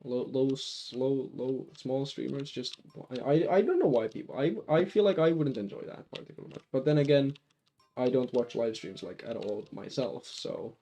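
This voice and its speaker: Finnish-accented male streamer, mellow tone, faint music behind, loose rambling delivery. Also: medium pitch, expressive, normal speed. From Finland. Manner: rambling, lots of restarts and fillers. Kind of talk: casual live-stream chat while gaming.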